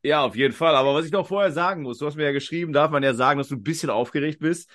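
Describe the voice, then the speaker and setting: A man's voice: a little high, rapid-fire, fairly bright tone. A man hosting a quiz podcast in a casual, talkative and rambling way. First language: German.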